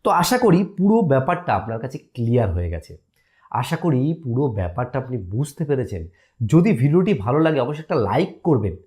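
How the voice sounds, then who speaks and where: A man's voice: mid-range voice, very animated, fast talker, darkish tone. A man hosting a casual app tutorial, explaining step by step and often checking in with listeners. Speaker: tutorial host.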